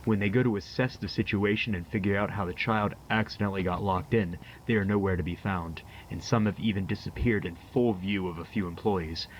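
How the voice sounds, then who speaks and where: A man playing a calm, methodical paranormal investigator, speaking his case notes in a measured, matter-of-fact way with hesitant pauses. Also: fairly low pitch, highs cut off, slight background noise, natural voice. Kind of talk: found-footage horror monologue, spoken as case notes.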